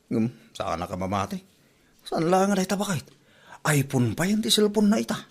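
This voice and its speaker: A man's voice: high-pitched, sing-song, moderate pace, husky, full character voice. A man acting a gossipy, disapproving village husband in an Ilocano comedy drama, with a Filipino Ilocano accent.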